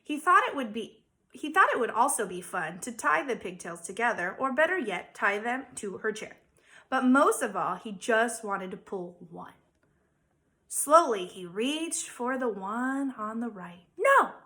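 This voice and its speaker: Woman, schoolteacher storyteller, bright forward voice, swooping intonation, dramatic pauses, children's read-aloud. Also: a little high, animated. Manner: dramatic emphasis, theatrical pauses. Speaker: elementary school teacher reading aloud. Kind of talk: expressive children's storybook narration.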